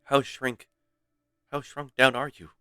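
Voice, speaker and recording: A man's voice: mid-range voice, level tone, slow pace, bright, forceful tone, clean tone, dry recording, clean studio sound. A man chatting casually on a game livestream, rambling and playful. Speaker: variety game streamer. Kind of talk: casual livestream chat and commentary. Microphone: close streaming microphone. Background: faint game music.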